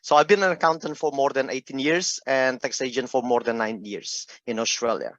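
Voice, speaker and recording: A middle-aged man from Indonesia with an Indonesian accent, telling his personal story in an informal, halting, conversational talk. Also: mid-range voice, fairly bright tone, no echo, lo-fi sound. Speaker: speaker giving a personal health testimony.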